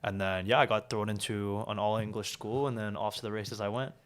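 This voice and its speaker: Low-voiced young man chatting casually. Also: fairly quick. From South Korea. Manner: relaxed, frequent "like" and "um" fillers. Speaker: podcast guest. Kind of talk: casual interview talk.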